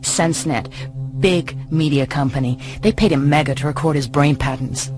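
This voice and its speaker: A woman's voice: sits low, steady tone, normal speed, lightly acted. An actress playing a tough, streetwise female mercenary in a radio drama, speaking in a blunt, clipped, knowing way. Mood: cool, confiding. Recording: music and street noise behind.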